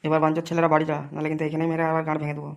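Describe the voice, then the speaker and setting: A woman's voice: low voice, monotone, very fast, creaky. A woman voicing a bullying, foul-mouthed young club gangster in an amateur comedy cartoon, slangy and threatening.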